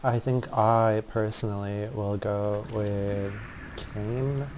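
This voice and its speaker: A man's voice: fairly low pitch, steady tone, takes it slowly, noisy background. A man hosting a gaming video with casual walkthrough commentary. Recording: faint game music behind.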